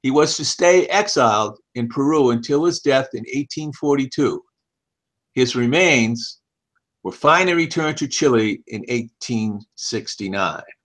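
An older man in his seventies reads an article aloud as scripted narration, in measured, deliberate phrases. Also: mid-range voice, expressive, a bit bright. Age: older, about 70s. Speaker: reader of an article. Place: small home office, indoors. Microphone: headset.

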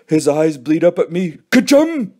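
A man voicing an excitable, scheming boy in a children's audiobook, melodramatic and full of exclamations. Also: on the high side, moderate pace, natural voice. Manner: melodramatic, exclaiming, mimics sneezes. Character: excitable scheming boy acting out sneezing fits.

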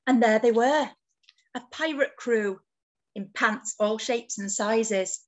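Woman reading as a cheery, high-pitched octopus storyteller. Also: slow. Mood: cheerful storytelling. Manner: sing-song rhyming delivery.

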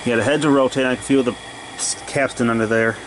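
A man's voice: mid-range voice, fairly even intonation, fast talker, background noise. A man giving casual, off-the-cuff repair commentary in a plain-spoken, thinking-aloud way, recorded on a handheld camera in a cluttered workshop. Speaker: hobbyist repairer narrating own video.

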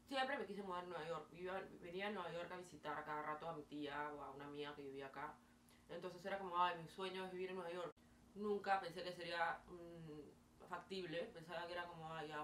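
A young woman with a Peruvian accent talks to the camera from her bedroom, chatty and full of fillers as she gestures. Her voice sits a little low for a woman. It is picked up from a few feet away, so the room's echo is clear and a faint hiss runs under it. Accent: Peruvian Spanish. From Peru. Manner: chatty, animated hand gestures, frequent fillers. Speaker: vlogger. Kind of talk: casual storytelling to camera. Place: small bedroom, indoors. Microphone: camera mic at a distance. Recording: roomy, distant, faint hiss.

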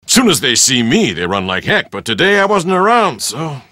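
An actor playing a brash, easygoing middle-aged Hawaiian surf shop dad in a video game, talking in colloquial, clipped phrases. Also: male voice, fairly high pitch, sing-song, moderate pace, bright, forceful tone, dry recording, clean studio sound. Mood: casual, dismissive. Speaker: game character: beach shop owner.

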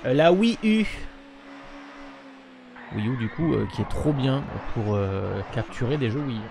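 A man's voice: mid-range voice, animated, measured pace, gravelly. A young man chatting casually on a live stream from his room, rambling with lots of fillers. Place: home room with webcam. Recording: noisy stream with game sound.

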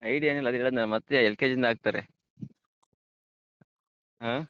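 A man's voice: mid-range voice, steady tone, moderate pace, smooth, dry recording, muffled recording. A man with a Karnataka Kannada accent in a casual phone chat, giving short, offhand replies. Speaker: prank call target. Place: on a phone call.

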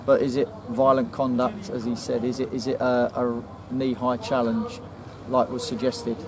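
A man in his forties with an English accent, a football manager giving a measured post-match interview outdoors at night. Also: medium pitch, level tone, background noise. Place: outdoors in front of a sponsor board at night.

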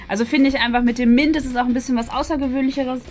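A woman's voice: high-pitched, steady tone, racing, darkish tone. A woman, hosting a casual, chatty product review. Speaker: host of an unboxing video. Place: small decorated indoor room. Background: faint music.

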